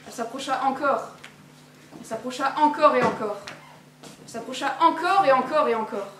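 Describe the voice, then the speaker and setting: A teenage girl narrating a fairy tale on stage in a measured storytelling manner. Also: high voice, fairly bright tone, a little hiss or hum. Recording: echoey hall from far off.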